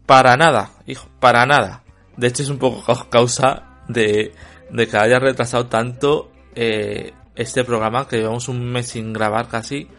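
A man with a Spanish accent from Spain talks through a book review, stumbling over fillers and restarts. His pitch sits in an ordinary middle range. He gets blunt and dismissive, and quiet music plays faintly behind him. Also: level tone, normal speed. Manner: hesitant, full of fillers, bluntly critical. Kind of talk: casual spoken book review.

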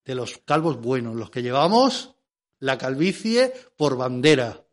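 A man's voice: medium pitch, sing-song, unhurried. A man from Spain with a Spanish accent doing joking radio chat, theatrical and repeating himself for emphasis. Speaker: comic regular of a radio show segment.

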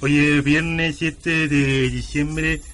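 An actor playing a fawning, cheeky newspaper reporter with a wheedling, evasive manner in a comic radio drama. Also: male voice, on the high side, fairly even intonation, extremely slow, fairly bright tone. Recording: noisy old radio broadcast.

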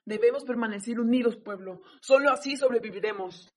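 A proud, commanding Aztec ruler acted by a woman in a radio drama. The voice is rough-edged and husky, with a stern, declamatory way of addressing warriors and strangers. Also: fairly high pitch, a little fast, natural voice. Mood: solemn, rallying.

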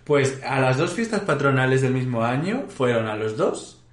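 A man's voice: medium pitch, sing-song, moderate pace. A man with a Castilian Madrid accent chatting casually as a podcast co-host, telling anecdotes in a playful, campy, emphatic way. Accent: Castilian Spanish from Madrid area. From Spain, Madrid region. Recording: roomy, echoing indoor sound.

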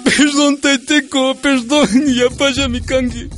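A meek, devout peasant in a radio drama, submissive and resigned. His high voice carries an Ecuadorian Andean accent, with faint music behind. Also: little pitch movement, slow. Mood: pleading. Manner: submissive, pleading.

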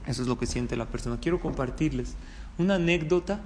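A man's voice: mid-range voice, fast talker. A Mexican man, a rabbi, giving an informal religious talk in a conversational way, often putting questions to his listeners. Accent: Mexican Spanish. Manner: conversational, checking in with listeners through rhetorical questions. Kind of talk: informal religious sermon with anecdotes. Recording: reverberant hall, clear background room noise.

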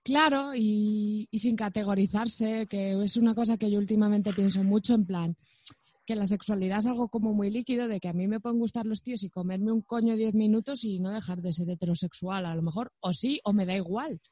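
A woman's voice: mid-range voice, fairly quick, dark tone, no echo. A young woman with a Castilian Spanish accent, chatting casually in a frank, colloquial and reflective way. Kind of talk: casual chat.

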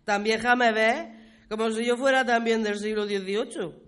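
A woman's voice: on the high side, fairly even intonation, normal speed, a bit bright, lightly acted. Good-humoured Spanish grandmother played by a woman. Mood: playful, explanatory. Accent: Spain. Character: good-humoured grandmother explaining local history. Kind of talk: conversational radio play acting.

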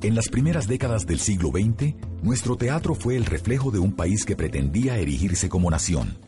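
Low-pitched male narrator over loud music. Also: very animated, moderate pace. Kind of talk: scripted radio documentary narration.

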